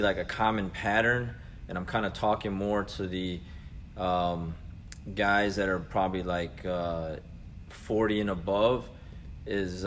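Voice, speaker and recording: A man's voice: sits low, fairly bright tone. An American man in his forties giving a casual motivational talk as a coach, conversational and earnest, speaking directly to his listeners. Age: 40s. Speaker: jiu-jitsu coach and vlog host. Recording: clearly noisy background ambience.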